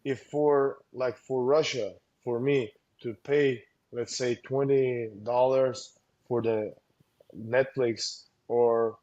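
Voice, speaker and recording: A man speaking as a guest coach in a relaxed interview, his voice mellow and dark in tone. He talks haltingly, stopping and restarting, and piles up fillers as he works toward his point. He sounds earnest, as if handing out practical advice. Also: medium pitch, lo-fi sound. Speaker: combat sports coach, guest. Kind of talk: casual interview talk.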